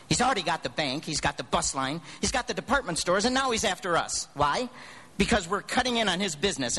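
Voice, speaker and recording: Man playing an earnest, high-voiced young loan manager. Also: pushed and bright, exaggerated voice. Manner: pleading, stammering, impassioned. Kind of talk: live radio drama acting. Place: theatre stage.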